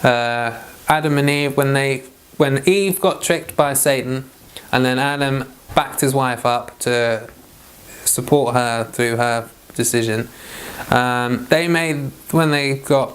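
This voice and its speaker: A man's voice: medium pitch, takes it slowly, a bit bright, clear, resonant tone. A man leading an informal Bible read-along, reading scripture aloud and giving chatty devotional commentary.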